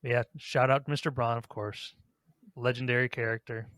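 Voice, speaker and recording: A man's voice: medium pitch, steady tone, normal speed, fairly bright tone, no room sound. A man hosting a casual podcast, chatting conversationally about games. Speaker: podcast host.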